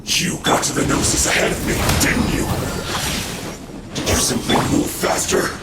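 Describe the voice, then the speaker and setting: A man's voice: moderate pace, loud music behind the voice, lightly acted. A man playing a demanding, threatening villain in a monstrous transformed state in a video game, with a commanding delivery. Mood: menacing. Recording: echoing, distant, very noisy game audio.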